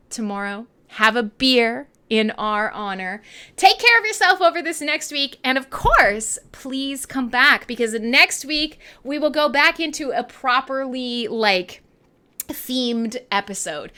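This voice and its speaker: Female radio host, Canadian accent. Higher voice, very bright and forceful, animated, slangy, irreverent live chat.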